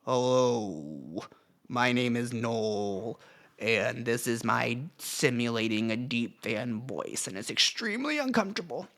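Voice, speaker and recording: A man putting on a deep, slow grown-man voice as a self-mocking joke, sounding stiff and uncomfortable. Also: expressive, creaky, fairly bright tone. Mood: mock-serious.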